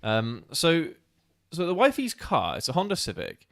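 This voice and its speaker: A man's voice: on the high side, huge pitch swings, moderate pace, gravelly, fairly bright tone, dry recording. Stammering man, dry mic. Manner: stammering restarts, laughs often. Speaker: co-host of a motorcycling channel. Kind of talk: casual live-stream chat.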